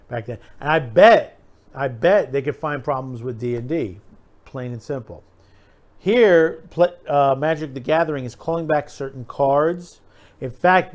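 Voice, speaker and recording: A man's voice: medium pitch, a little mellow. Rambling, emphatic American man giving commentary. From United States. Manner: rambling, emphatic, repeats himself. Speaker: host of an online commentary show. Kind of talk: unscripted opinion commentary.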